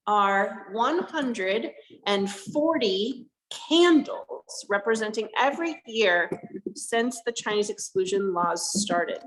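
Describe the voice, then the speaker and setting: A woman's voice: fairly high pitch, echoey room, rough recording. A woman park interpreter giving a live educational talk from inside a historic barracks, explaining simply and answering viewers' questions. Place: indoors in a large historic barracks building.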